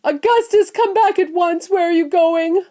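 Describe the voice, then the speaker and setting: A woman's voice: extremely high pitch, moderate pace, mellow, pitched above the performer's own voice. A woman voicing a bossy, panicking mother in a children's storybook, screaming and commanding. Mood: frantic screaming. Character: shrill, panicking, bossy mother of a greedy boy.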